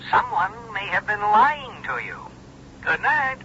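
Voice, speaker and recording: An actor playing a smug retired criminal who slyly and politely needles a police inspector in a radio drama. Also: male voice, sits high, big pitch swings, unhurried, bright, forceful tone, heavily acted. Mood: smug needling. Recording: dull, noisy old radio recording.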